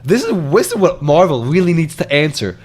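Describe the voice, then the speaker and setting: A young man, co-hosting a casual movie review in a jokey, excitable way with plenty of rhetorical questions. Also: fairly high pitch, fairly quick. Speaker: film review co-host. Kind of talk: casual unscripted movie chat.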